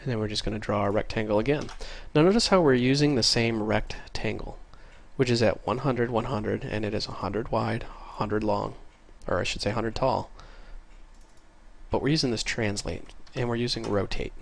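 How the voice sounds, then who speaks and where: A man with a congested voice from a cold, casually talking through a programming tutorial and getting excited over small things. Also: on the low side, normal speed, creaky. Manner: casual, easily excited, chatty. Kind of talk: screen-recorded coding tutorial. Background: faint keyboard typing.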